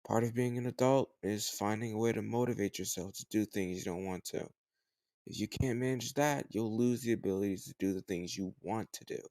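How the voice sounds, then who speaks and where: Low-voiced teasing male tutor, acted by a man. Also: a little fast, no echo, lightly acted. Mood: gently chiding. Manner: conversational, teasing, gently chiding. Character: teasing, slightly older male home tutor, patient but sly. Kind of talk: intimate roleplay audio drama addressed to the listener.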